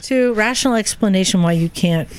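A woman's voice: medium pitch, a little fast, clean tone. A woman chatting casually on a group podcast in a dry, teasing manner. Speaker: podcast panelist.

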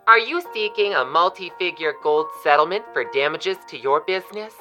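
A woman playing a zealous fantasy attorney who pitches services in a parody advertisement. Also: moderate pace, sharp tone, highs cut off, faint music behind.

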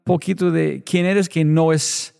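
A man's voice: on the high side, fairly even intonation, unhurried, creaky, a little mellow, clean tone, soft background music. A man hosting a podcast, opening with an enthusiastic, emphatic intro and then interviewing a guest. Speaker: podcast host.

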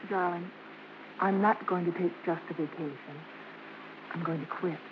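An actress playing a proud, spirited young American vaudeville dancer, tender yet easily indignant, in theatrical 1950s radio drama. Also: female voice, on the low side, fairly even intonation, normal speed, close to an everyday voice. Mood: tender, resolute. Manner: theatrical, emphatic. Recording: muffled, noisy old radio broadcast.

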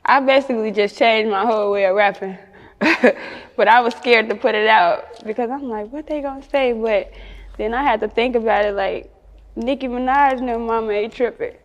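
A woman with a Chicago accent chatting casually and candidly in an interview as a rapper, slangy and quick to laugh. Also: fairly high pitch, long reverb tail. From Chicago, United States.

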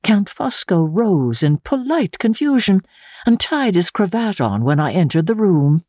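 A woman reading literary fiction as an audiobook narrator, with measured, theatrical delivery. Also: medium pitch, sing-song, warm tone, dry recording, clean studio sound. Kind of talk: dramatic literary narration, first-person diary.

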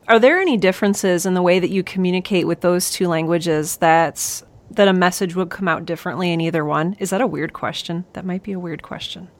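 A woman hosting an interview podcast, asking curious questions and sometimes poking gentle fun at herself. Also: fairly low pitch. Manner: curious, self-deprecating questioning. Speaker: podcast host. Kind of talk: casual interview conversation.

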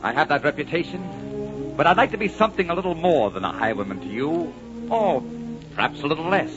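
Swooping, dashing highwayman, old radio. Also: sing-song, brisk. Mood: gallant, flirtatious. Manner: gallant, theatrical. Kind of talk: 1940s radio drama acting. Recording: muffled old 1940s radio recording, music.

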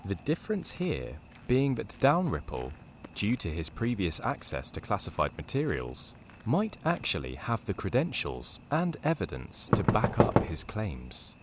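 An actor voicing a wry, deadpan storyteller, narrating a scripted dark comedy. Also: male voice, lowish voice, unhurried, noisy background, full character voice. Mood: dramatic.

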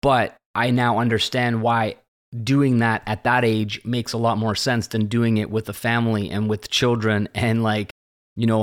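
A man in his late thirties talks alone in a loose, reflective way, circling back on his points and checking in with "right?" His voice sits a little low. He sounds like a host musing to his listeners. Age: late 30s. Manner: rambling and conversational, often tags "right?" and "this kind of thing". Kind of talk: reflective solo monologue.

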